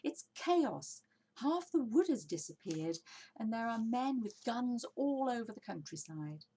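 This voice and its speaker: Woman voicing an excitable badger, raised husky voice. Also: fairly high pitch, unhurried, pitched above the performer's own voice, heavily acted, poor audio. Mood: alarmed. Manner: exclamatory, fretful. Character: excitable, desperate adult male badger, a digger. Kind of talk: children's storybook character voice.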